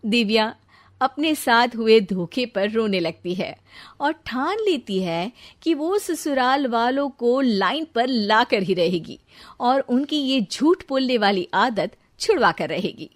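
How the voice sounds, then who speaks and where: Slightly high-voiced female storyteller. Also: lively intonation, slow. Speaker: narrator. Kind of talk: scripted moral story narration.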